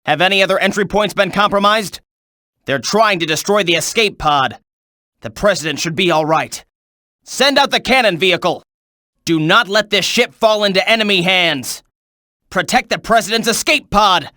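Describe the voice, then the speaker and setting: Tense, urgent game soldier. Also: male voice, high-pitched, moderate pace, sharp tone, no room sound, clean studio sound. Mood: grim, resolute. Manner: clipped, urgent military delivery. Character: tense military soldier barking combat reports and orders. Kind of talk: video game battle voice clips.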